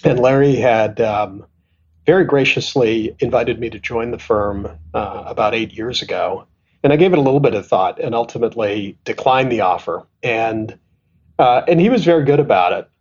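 A man's voice: medium pitch, normal speed, darkish tone, dull audio, a little hiss or hum. A middle-aged man from Canada, an asset management executive, telling stories thoughtfully in an interview with many restarts and fillers. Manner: thoughtful, self-deprecating, frequent restarts and fillers. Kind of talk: conversational interview storytelling.

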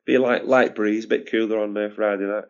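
A man with a hoarse, fading voice talks through a podcast in a casual, stop-start way. His voice sits on the low side, and the recording is dull and muffled. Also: steady tone. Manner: halting, repeats words, chuckles. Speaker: podcast co-host. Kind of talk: casual sports chat.

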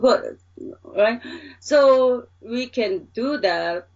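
A woman's voice: a little high, expressive, very slow, recorded from a distance, lo-fi sound. A woman from Korea with a Korean accent, answering interview questions in a hesitant way with many fillers and restarts. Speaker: interviewee, researcher who hires graduate students.

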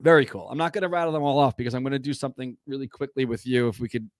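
A man co-hosting a casual sports fan show, chatty and rambling with lots of fillers, a little hoarse from a cough. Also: medium pitch, rapid-fire, no echo, studio quality. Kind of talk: casual chat.

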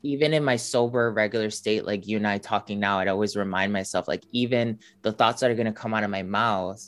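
A man hosting a casual podcast conversation, talking in a relaxed, thoughtful way with many filler words. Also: on the low side, a little fast. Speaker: host and teacher.

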